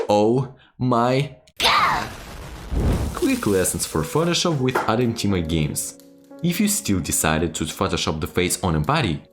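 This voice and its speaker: A man speaks over clear background music. His delivery swings up and down a lot as he hands out sarcastic tips, playful and mock-serious, leaning hard on his key words. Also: deep voice. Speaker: video creator giving sarcastic game-making tips. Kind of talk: tongue-in-cheek video tutorial narration.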